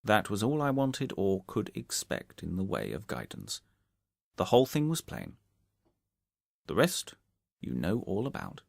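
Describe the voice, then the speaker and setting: Man acting a self-assured detective, storybook, precise manner, wide expressive intonation, dry sound. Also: lowish voice, unhurried, gravelly, pauses often, dry recording, exaggerated voice. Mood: confident, explanatory. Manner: precise, methodical, confident. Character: shrewd, self-assured gentleman detective explaining his methods.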